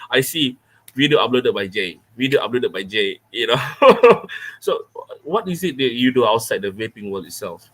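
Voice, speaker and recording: A man from Malaysia hosting a live interview, nervous, talking quickly and laughing often. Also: medium pitch, big pitch swings, a bit bright, slightly rough. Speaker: host of a vaping channel live stream. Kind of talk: casual live-stream interview.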